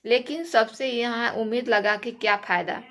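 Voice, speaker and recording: A woman's voice: on the high side, fairly even intonation, moderate pace, fairly bright tone. A woman gives informal commentary to viewers, emphatic and repeating phrases for stress. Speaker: reaction video host. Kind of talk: informal commentary on a viral dispute, addressed to viewers.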